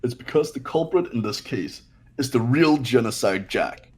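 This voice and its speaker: A man's voice: medium pitch, animated, normal speed, darkish tone, husky. A man voicing a haughty, cold young rich genius who makes accusing declarations in an amateur game dub. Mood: cool, explanatory.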